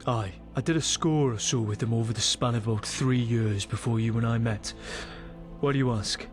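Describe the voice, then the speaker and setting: An actor playing a relaxed, caring and teasing male bounty hunter companion in a fantasy video game. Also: mid-range voice, normal speed, faint music behind, lightly acted. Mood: curious, teasing.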